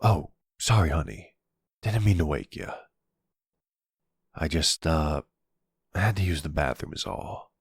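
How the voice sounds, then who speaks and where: A man playing a protective mobster boyfriend in an ASMR roleplay audio drama, tender but dangerous, with a gravelly, husky voice. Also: a bass voice, lively intonation, unhurried, long pauses, no room sound, exaggerated voice. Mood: sheepish, soft.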